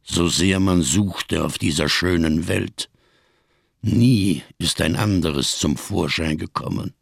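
Gravelly, world-weary giant avenger. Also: male voice, sits low, no echo, studio quality, heavily acted. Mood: sardonic, grave. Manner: grandiose, bitterly ironic, slow rhetorical delivery. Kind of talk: German radio crime drama acting.

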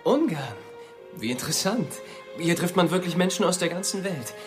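Brief: young male student, friendly and curious, radio play, music clearly behind. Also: high voice, animated, normal speed. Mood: friendly, amused. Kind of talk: radio drama acting.

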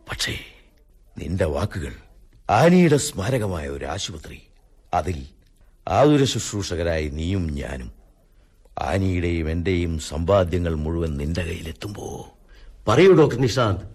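An actor playing a bitter, drunken planter who taunts and broods theatrically in a radio drama. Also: male voice, sits low, expressive, a little slow, long pauses, a little mellow, husky, heavily acted. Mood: bitter, brooding. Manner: theatrical, brooding, swinging from murmur to outburst.

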